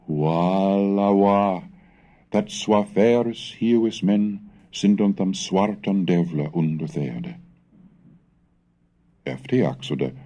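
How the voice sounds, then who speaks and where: A man giving a formal, measured scripted reading, declamatory, in the style of an educational recitation of old texts. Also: low-pitched, warm tone, husky, highs cut off. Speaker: reader and narrator of a language history recording.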